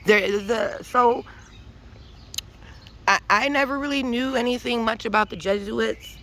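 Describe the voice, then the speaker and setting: A woman's voice: fairly high pitch, fairly even intonation, measured pace, fairly bright tone, a little hiss or hum. A woman giving an emphatic, profane spiritual monologue with many restarts, rambling and preachy. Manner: intense and preachy. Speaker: vlogger.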